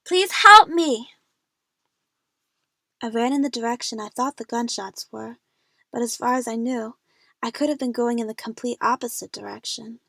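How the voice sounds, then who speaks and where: A woman's voice: fairly high pitch, moderate pace, gravelly, pauses often, sharp tone, no echo, clean studio sound, heavily acted. A woman playing a frightened young female adventure fan, narrating her own jungle ordeal in a scripted audio drama. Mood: screaming for help.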